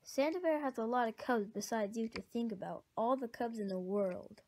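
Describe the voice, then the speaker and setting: A man voicing a confident older boy bear cub in a storybook reading, talking quickly and knowingly. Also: extremely high pitch, darkish tone, voice pushed up. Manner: quick, know-it-all.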